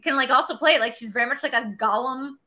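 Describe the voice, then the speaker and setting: A young adult woman co-hosts a loose, casual film chat. She rambles along with a lot of "like", and her voice is bright and forward. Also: high voice, fast talker. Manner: rambling, heavy use of "like". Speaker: podcast and stream co-host.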